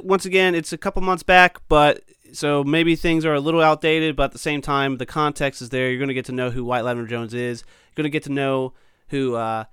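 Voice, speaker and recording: A man's voice: medium pitch, a bit bright, clean tone, no room sound, clean studio sound. A male podcast host getting over a cold, a bit sniffly, talking in an upbeat, chatty way with lots of fillers. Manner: upbeat, chatty, many ums and uhs. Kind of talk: casual podcast chat.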